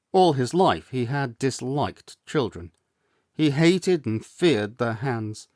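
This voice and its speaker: A man narrating a classic novel as a storyteller, with measured, deliberate phrasing and dramatic pauses. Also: mid-range voice, a little mellow, no echo, studio quality. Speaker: storyteller, audiobook reader.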